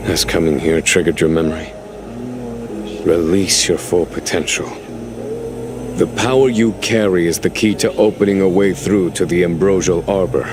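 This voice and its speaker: An actor playing a calm, grave, knowing general in a video game, speaking formally and deliberately. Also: male voice, low voice, background noise, close to an everyday voice. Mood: solemn urging. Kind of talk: scripted video game dialogue. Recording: loud background music under voice.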